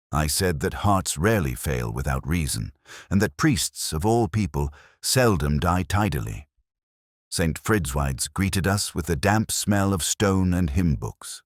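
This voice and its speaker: A man playing a weary, sharp-minded police detective, narrating a mystery in a measured, literary, wry and brooding way. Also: low voice, dry recording, studio quality, heavily acted. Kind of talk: scripted first-person detective narration.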